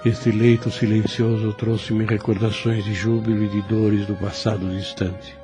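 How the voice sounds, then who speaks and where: An actor playing a gentle, devout, dying old priest who speaks slowly and tenderly in an audio novel. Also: male voice, lowish voice, little pitch movement, music in the background, lightly acted. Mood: nostalgic. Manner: slow, halting, tender speech. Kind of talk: religious audio novel acting.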